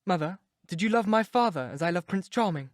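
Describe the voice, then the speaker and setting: A man's voice: high voice, animated, brisk, no room sound, clean studio sound, pitched above the performer's own voice. A man voicing an eager, lovestruck young woman in a read-aloud story. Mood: adoring.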